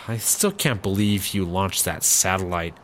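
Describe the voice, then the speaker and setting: A man's voice: low voice, takes it slowly, fairly bright tone, a little hiss or hum. Man acting a dry, weary straight man. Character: weary, incredulous straight-man squad-car partner. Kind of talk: comic audio drama acting.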